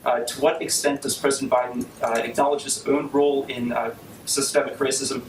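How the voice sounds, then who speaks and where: A man asking a press briefing question as a reporter, with careful, halting phrasing. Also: medium pitch, flat delivery, echoey room, a little hiss or hum, poor audio.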